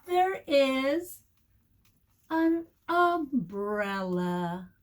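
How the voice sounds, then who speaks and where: Very slow, animated woman teaching young children. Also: high voice. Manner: pauses to question and encourage young listeners; deliberate, repeats key words. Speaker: preschool teacher. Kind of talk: storytime read-aloud lesson for young children.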